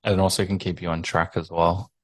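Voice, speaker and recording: A man hosting a relaxed interview, encouraging his guest with enthusiastic, slightly rambling questions. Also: low voice, fast talker, no echo. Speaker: podcast host. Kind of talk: casual interview chat.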